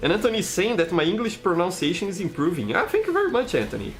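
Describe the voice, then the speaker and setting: A man's voice: sits high, wildly swooping pitch, quick pace, soft background music. A man from Brazil with a Brazilian Portuguese accent, a game streamer chatting casually and reading chat aloud, friendly, with stammered restarts. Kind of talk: casual live-stream chat while playing.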